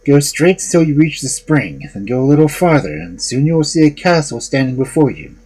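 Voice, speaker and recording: Man acting a blunt, reluctant stranger, husky raised voice. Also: a little high, expressive, a little fast, darkish tone, pitched above the performer's own voice, poor audio. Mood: plain directing. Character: reluctant blunt male stranger serving as a guide.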